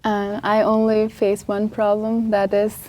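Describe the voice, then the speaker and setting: A woman's voice: mid-range voice, completely flat delivery, unhurried, a little mellow. A young woman from Pakistan, an international student answering press questions in a light, lighthearted way. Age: young adult.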